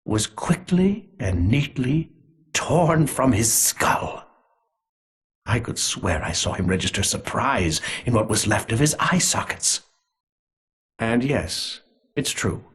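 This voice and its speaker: A sinister conspirator from a video game. His voice is low and heavily rasped. He gloats with deliberate, theatrical relish, smug and gleefully cruel. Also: exaggerated voice. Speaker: villain character in a video game. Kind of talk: scripted villain monologue.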